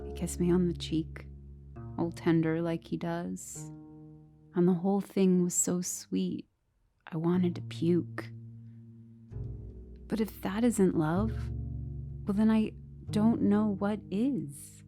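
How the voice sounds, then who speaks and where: A woman's voice: on the low side, steady tone, slow, long pauses, mellow. An actress playing a brooding, insecure woman who narrates her troubled romance as an intimate, bitter, haunted ghost story monologue. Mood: brooding, wounded. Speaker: narrator and lead character of a horror audio drama. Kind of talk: dramatic first-person ghost story monologue. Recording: music behind the voice. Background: musical underscore.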